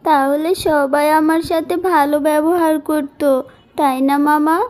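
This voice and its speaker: Woman playing a high-voiced, plaintive orphan girl. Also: level tone, a little slow, a little mellow. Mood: hurt, plaintive. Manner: plaintive, earnest. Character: sorrowful, mistreated orphan young woman in a folk tale. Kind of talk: animated moral-story cartoon dubbing.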